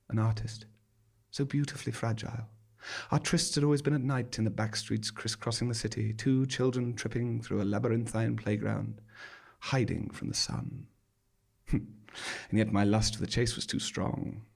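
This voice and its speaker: Creaky-voiced man telling a dramatic story. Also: mid-range voice, a little mellow. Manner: arch, droll, dramatic delivery. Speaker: monologue performer. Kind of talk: theatrical scripted first-person storytelling. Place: small theatre stage, live performance.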